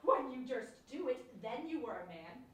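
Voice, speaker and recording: A ruthless noblewoman in a classical stage tragedy, acted by a woman, with a high voice and scornful, emphatic delivery of verse. The sound is heavily echoing and distant, with a faint noise behind it. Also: very animated, moderate pace. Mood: scornful goading. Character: ruthless, scornful noblewoman goading her husband to murder. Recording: very echoey room, distant mic, faint noise.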